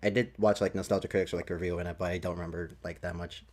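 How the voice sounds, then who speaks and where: A man hosting a casual podcast chat, talking in a halting way and swearing freely. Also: low-pitched, level tone, darkish tone. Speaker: podcast host.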